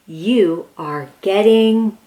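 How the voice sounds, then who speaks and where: A woman reading a picture book aloud to young children as a host, with excited, encouraging exclamations. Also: medium pitch, very slow.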